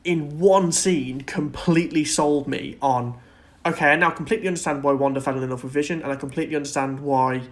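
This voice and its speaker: A man's voice: fairly high pitch, steady tone, a little fast. A man co-hosting a podcast, talking casually and enthusiastically in loosely worded thoughts about film and TV. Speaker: podcast co-host.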